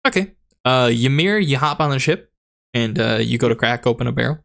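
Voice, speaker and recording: A man running a tabletop game as dungeon master, narrating in a halting, rambling way with a voice that is going hoarse. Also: medium pitch, animated, fairly bright tone. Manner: rambling, halting, laughs often. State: losing his voice.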